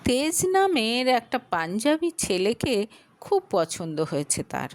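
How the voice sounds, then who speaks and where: A woman narrating a romantic audio story with a Bengali accent, telling it slowly and expressively. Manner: slow, expressive storytelling with lively pitch.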